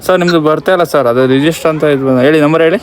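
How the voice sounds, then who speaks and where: A man's voice: fairly high pitch, quick pace. A man with a Karnataka Kannada accent making a deferential, official-style phone call as a prank caller. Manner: repeatedly deferential with "sir". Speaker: prank caller, host's friend. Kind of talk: posing as polite official on phone calls. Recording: noisy outdoor recording, traffic behind.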